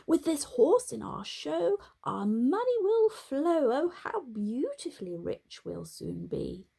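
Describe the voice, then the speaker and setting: A woman's voice: sits low, expressive, slow pace, gravelly, warm tone, breathy edge, full character voice. A woman voicing a pair of greedy male horse thieves in a storybook, jeering and chortling. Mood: gleeful.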